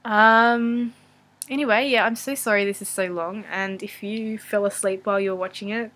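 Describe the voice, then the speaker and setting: A woman's voice: mid-range voice, fairly even intonation, normal speed, fairly bright tone. A young adult woman with an Australian accent, chatting casually and unscripted as a vlogger, in a rambling, self-deprecating, apologetic way. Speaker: vlogger answering viewer questions. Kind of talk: casual unscripted chat to camera.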